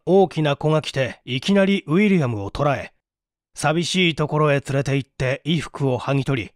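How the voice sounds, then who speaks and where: A man playing a matter-of-fact police detective in a read-aloud story, explaining things in a measured way. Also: a little high, dry recording, clean studio sound, close to an everyday voice. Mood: explanatory.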